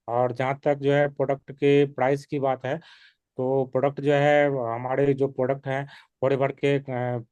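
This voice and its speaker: A man's voice: medium pitch, a little mellow, no room sound. A man who sells health products, answering a customer's objections hesitantly and with many fillers. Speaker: network marketing distributor.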